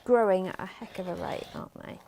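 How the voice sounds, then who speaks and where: A woman co-hosting a crafting chat, who calmly walks through sewing steps and chimes in with short replies. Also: medium pitch, fast talker, vocal fry, crowd noise. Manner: warm interjections, calm step-by-step instructions. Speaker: co-host. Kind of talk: casual chat while demonstrating sewing.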